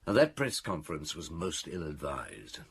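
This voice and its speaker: A middle-aged actor playing a weary, reflective chief inspector in a radio drama, speaking in a measured, ruminative way. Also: male voice, extremely low pitch, huge pitch swings, gravelly, fairly bright tone, slightly rough, exaggerated voice. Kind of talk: radio drama dialogue and recollection.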